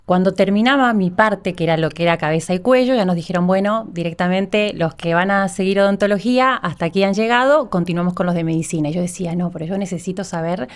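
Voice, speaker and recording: Argentine lady doctor chatting as an interview guest, voice a bit on the low side. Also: fast talker, few pauses. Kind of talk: conversational interview answers.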